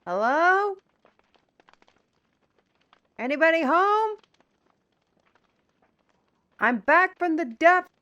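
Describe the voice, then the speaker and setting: A woman playing a dry, exasperated fantasy guide in an audio roleplay, with a wry, teasing, unhurried manner. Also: extremely high pitch, bright, forceful tone, lo-fi sound, full character voice. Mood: calling out.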